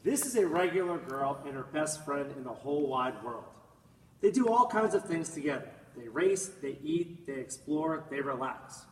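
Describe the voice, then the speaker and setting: A man reading a children's picture book aloud in an expressive, dramatic manner, drawing out words and swelling with emphasis. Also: on the high side, normal speed. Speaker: storybook reader. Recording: echoey room, distant mic, faint noise.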